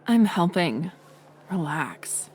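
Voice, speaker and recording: A young woman playing a mocking college bully in an audio roleplay, sarcastic and teasing, with a hidden softer side. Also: fairly low pitch, slight background noise, heavily acted. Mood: smug, sarcastic. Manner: sarcastic, teasing, scoffing with sighs and breathy asides. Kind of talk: audio roleplay acting, intimate one-sided dialogue.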